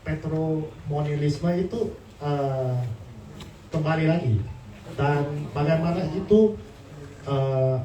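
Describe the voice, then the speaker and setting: Halting man, very muffled echoey sound. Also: fairly high pitch, warm tone. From Singapore. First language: not Indonesian. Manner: halting, searching for words. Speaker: guest speaker presenting slides. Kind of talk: prepared talk on political reform. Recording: echoey, distant mic with room noise.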